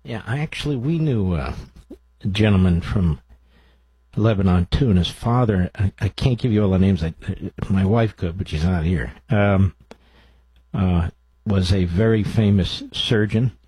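Husky male talk show host. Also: low voice, unhurried, dark tone, dry recording, low-quality recording. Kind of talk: radio interview talk.

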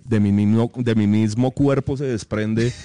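A Colombian man with a Bogotá accent and a slightly low, very mellow, dark voice. He talks as a guest in a casual interview, telling anecdotes with plenty of local slang. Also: fairly even intonation, a little slow, clear, resonant tone, no echo. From Colombia, raised in Bogotá and Villavicencio. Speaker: interview guest, rock singer.

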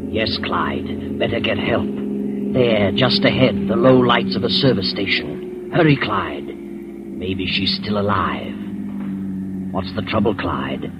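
A man's voice: lowish voice, expressive, slow pace, heavy background noise. An American man playing an all-knowing, mysterious narrator in a 1940s radio suspense show, taunting and dramatic. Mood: sinister, taunting. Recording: muffled old radio broadcast with music.

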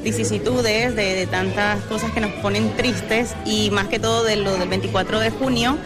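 Effusive Venezuelan woman, loud music. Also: medium pitch, fairly even intonation, moderate pace. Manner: emotional, effusive, repeating words. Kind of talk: interview answers.

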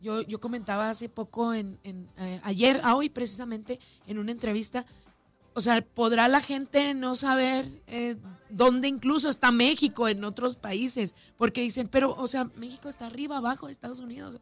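A woman's voice: a little high, fairly even intonation, normal speed, a bit bright. A woman with a northern Mexican accent speaking as an event organizer in a conversational interview, talkative and emphatic, repeating phrases for stress. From Ciudad Juárez, Mexico. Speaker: festival organizer being interviewed. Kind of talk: conversational radio or media interview.

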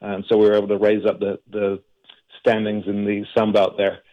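Coach, flat even pitch, earnest guest on a radio call. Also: male voice, fairly quick, muffled recording. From England. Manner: grateful, earnest, flowing storytelling. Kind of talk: radio interview answers.

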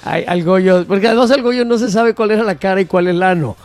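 A man's voice: high voice, brisk, a little mellow. A man with a Mexican accent co-hosting a talk show, chatting casually with playful, slangy banter. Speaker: co-host of an entertainment talk show. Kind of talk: casual comedic chat.

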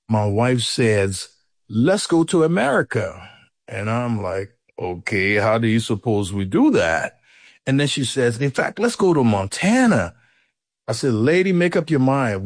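A middle-aged man with a Liberian accent, a guest recounting his life story conversationally, animated when he quotes others. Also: lowish voice, no echo, clean studio sound. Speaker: interview guest. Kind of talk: casual storytelling in an interview.

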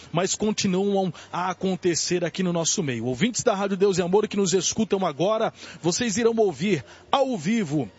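Brazilian male church radio reporter, high husky voice, preacherly emphatic lists, slightly dull broadcast sound. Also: a little mellow. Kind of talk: live evangelical radio reporting and interviewing.

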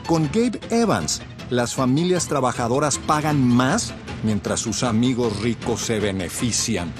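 A man's voice: medium pitch, animated, a little slow, over music. A man reading a political radio ad in an urgent, persuasive voice. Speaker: ad announcer. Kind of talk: political ad voice-over.

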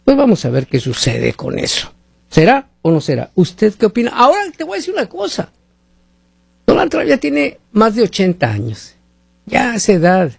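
Gravelly Spanish-speaking radio talk host from Mexico. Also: male voice, high voice, huge pitch swings, moderate pace, darkish tone, no echo, studio quality. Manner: chatty, rambling, asks listeners rhetorical questions. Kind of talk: talk radio commentary with live ad reads. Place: living in the United States.

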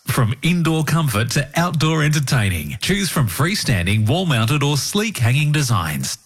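A man's voice: on the high side, sing-song, moderate pace, breathy edge. A man reading a radio ad with a lilting sell. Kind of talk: radio ad read. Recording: ad over music.

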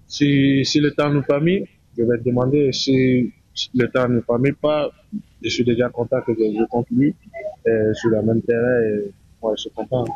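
A football player speaking in a short street interview, halting and plain. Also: male voice, medium pitch, fairly even intonation, a little mellow, no echo, lo-fi sound.

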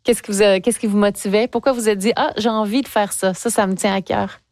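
A woman's voice: mid-range voice, fairly even intonation, brisk, no echo. A woman interviewing young guests, asking encouraging questions with frequent hesitations. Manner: warm encouraging questions with many fillers. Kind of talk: radio interview.

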